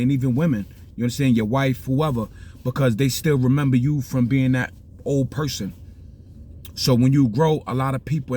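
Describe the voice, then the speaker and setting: Middle-aged Bronx man, rambling street talk, dark relaxed voice, light hiss. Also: medium pitch. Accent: New York (Bronx). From South Bronx, New York. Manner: rambling, keeps asking "you understand?". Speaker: vlogger giving life advice.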